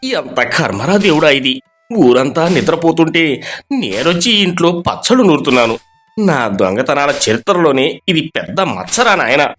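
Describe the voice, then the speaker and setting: A woman puts on a hapless village thief, a man who whines and wails with melodrama. The pitch swings widely, with faint music behind. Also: big pitch swings, moderate pace. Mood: grumbling. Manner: grumbling, melodramatic wailing.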